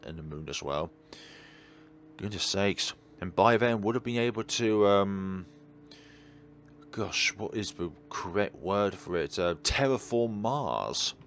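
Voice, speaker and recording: Deep-voiced man with lively, swinging intonation. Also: slow pace, slight background noise. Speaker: let's play host. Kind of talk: reading a visual novel aloud with rambling off-script commentary.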